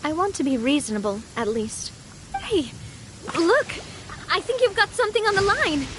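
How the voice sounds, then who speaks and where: A warm, good-natured young noblewoman who laughs easily and speaks in a gentle, thoughtful way. Her voice sits high and bright. Faint room ambience hums in the game audio behind her. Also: lively intonation, moderate pace, noisy background. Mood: lively. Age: young adult. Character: cheerful young noblewoman, gang leader, warm. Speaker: leader of a group. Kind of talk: video game voice acting.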